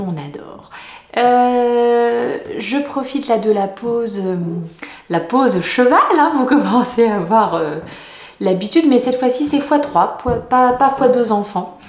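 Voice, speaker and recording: A woman's voice: a little high, a little mellow. Middle-aged woman, casual vlogger, self-deprecating, filler-heavy, echoey room. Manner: chatty, self-mocking, many fillers and restarts. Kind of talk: casual vlog chat. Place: home kitchen and dining room.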